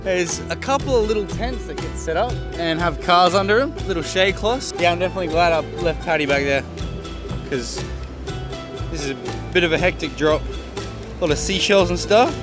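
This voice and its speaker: A man's voice: high-pitched, fairly quick, music in the background. A young Australian man with an easygoing, chatty manner, vlogging his travels and talking to his dog and viewers. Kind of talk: casual travel vlog. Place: outdoors on a beach and in town. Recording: outdoor camera audio with loud background noise.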